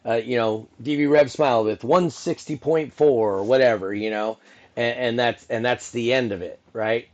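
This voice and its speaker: A man's voice: mid-range voice, moderate pace. A middle-aged man with a mid-range voice talks through a casual podcast chat, circling back on his words and tossing in "you know" constantly. The audio is a little muffled and dull. He sounds like a seasoned announcer talking off the cuff. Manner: rambling, full of restarts and "you know". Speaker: podcast host and event announcer.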